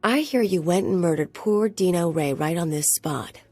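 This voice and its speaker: A woman playing a cool, flirtatious and menacing crime enforcer in a video game, husky and gravelly, teasing as she threatens. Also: fairly low pitch, expressive, normal speed, mellow, dry recording. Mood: cool, teasing menace.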